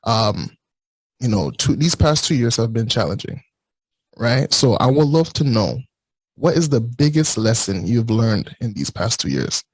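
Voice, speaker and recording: A man's voice: mid-range voice, big pitch swings, unhurried, breathy edge, no room sound, low-quality recording. A young man hosting a casual podcast interview, often stammering and restarting, with many small agreeing sounds. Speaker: podcast host.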